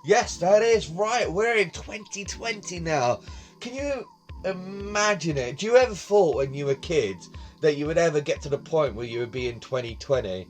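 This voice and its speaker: A man's voice: on the high side, animated, normal speed, soft background music. A man in his late twenties, hosting his own vlog in casual, rambling chat, recorded on a phone in his bedroom. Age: 20s. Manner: rambling, chatty, repeats himself. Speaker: vlog host. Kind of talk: casual talking-to-camera vlog. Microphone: phone camera on tripod.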